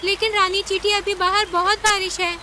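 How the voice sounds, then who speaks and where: A woman's voice: extremely high pitch, flat delivery, normal speed, background noise. A woman voicing an earnest, cautious little female ant in a cartoon story. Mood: anxious, respectful. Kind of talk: Hindi animated cartoon story acting.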